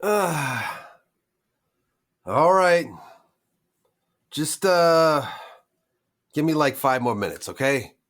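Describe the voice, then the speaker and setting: A man's voice: on the high side, expressive, extremely slow, long pauses, a bit bright, full character voice. A man playing an informal, hesitant, easygoing sports fan in a tabletop roleplaying game. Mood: surprised, casual.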